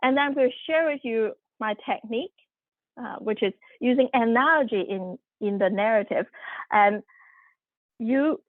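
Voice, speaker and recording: A woman's voice: on the high side, moderate pace, no room sound. A woman whose first language is Cantonese, giving an online workshop in a conversational way with many fillers and restarts, speaking over a video call. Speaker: workshop presenter. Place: home room, video call. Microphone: computer mic on video call. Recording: tinny phone-like audio.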